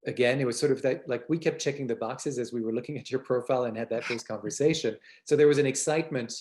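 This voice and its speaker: A man's voice: medium pitch, quick pace, darkish tone. A man co-hosting a podcast in a conversational interview style, often searching for words and circling back. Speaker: podcast co-host.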